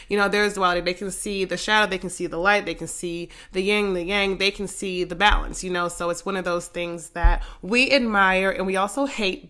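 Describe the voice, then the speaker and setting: Sassy congested woman, bright voice. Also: on the low side. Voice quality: raspy. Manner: slangy, sassy, laughs often. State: congested from a head cold. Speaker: podcast host. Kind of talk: casual solo podcast chat. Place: living room.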